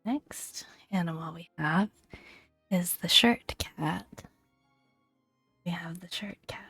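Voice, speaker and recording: Soft breathy woman, slow ASMR reading. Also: lowish voice, faint music behind. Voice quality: soft, breathy, often near-whispered. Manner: gentle, unhurried, with long pauses between phrases. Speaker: gaming video host. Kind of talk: soft-spoken ASMR, reading game entries aloud with casual asides.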